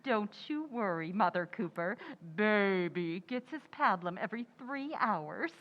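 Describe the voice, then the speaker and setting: A woman playing a sarcastic, excitable housewife in old-time radio comedy, with a wry, exaggerated delivery. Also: medium pitch, measured pace, dull audio, natural voice.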